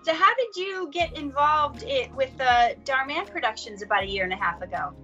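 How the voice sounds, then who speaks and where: A woman with a high, bright voice hosts a casual interview. She asks chatty questions and keeps the talk friendly. The recording is dull and lo-fi, with faint music behind her. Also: expressive, moderate pace. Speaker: host interviewer.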